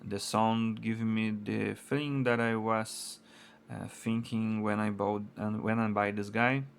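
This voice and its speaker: A man with a Brazilian Portuguese accent reviews gear in careful, halting English, stopping often on "uh." His voice sits low and gravelly, and he speaks at an unhurried pace. A faint hiss runs under the recording. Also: flat delivery. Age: adult, married. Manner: halting non-native English with many uh fillers. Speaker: product reviewer. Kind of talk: casual product review.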